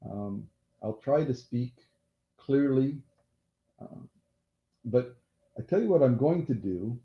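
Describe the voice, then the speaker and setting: A man hosting a casual live-stream quiz game who has a loud voice, repeats each question and apologizes during asides. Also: mid-range voice, expressive, takes it slowly. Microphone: laptop mic. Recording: echoey room, dull lo-fi laptop audio.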